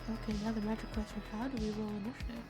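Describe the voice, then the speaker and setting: A woman's voice: on the high side, level tone, rapid-fire. A woman chatting casually with fellow players during a tabletop roleplaying game. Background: music.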